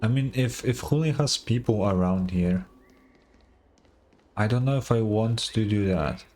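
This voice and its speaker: Low, slow-talking male game streamer. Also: a little mellow. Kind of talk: casual game commentary.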